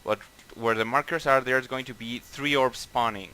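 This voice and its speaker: A man's voice: mid-range voice, steady tone, moderate pace, bright, forceful tone, clean tone, rough recording. A man with a Mexican accent leading a game raid over voice chat, explaining boss tactics casually with swearing and lots of fillers. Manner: casual, profane, many fillers and restarts. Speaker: raid leader.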